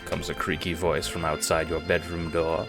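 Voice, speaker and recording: A man's voice: sits low, flat delivery, normal speed, creaky. A man narrating a scripted story in the second person, in the style of an audio drama or audiobook. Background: music.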